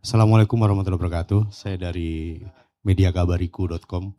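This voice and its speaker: Deep-voiced, hesitant male reporter. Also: fairly even intonation, quick pace, warm tone. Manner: hesitant, polite, with many fillers. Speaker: journalist. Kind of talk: asking questions at a press conference.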